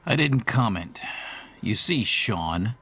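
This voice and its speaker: Man acting middle aged gent telling a creepy story, lowish voice, very formal and wordy, horror podcast drama. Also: expressive, pushed and bright, slightly rough, exaggerated voice. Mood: uneasy, brooding. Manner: ornate, formal, measured storytelling. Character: formal, verbose middle-aged man recalling haunting courier days. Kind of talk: audio horror drama monologue, recorded letter.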